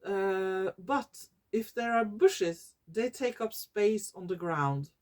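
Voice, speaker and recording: A Norwegian woman vlogging in English, her second language, often pausing to search for words and correcting herself. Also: medium pitch, lively intonation, a little mellow. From Norway. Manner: slow and searching for English words, many fillers and self-corrections. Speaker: YouTuber. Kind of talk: casual talking-head vlog.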